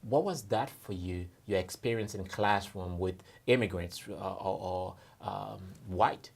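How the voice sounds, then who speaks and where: Male moderator, lowish voice, poses questions with some hesitation. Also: a little slow, vocal fry, slightly rough. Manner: hesitant questions with fillers, brief acknowledgments. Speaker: moderator host. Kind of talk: moderating a panel discussion.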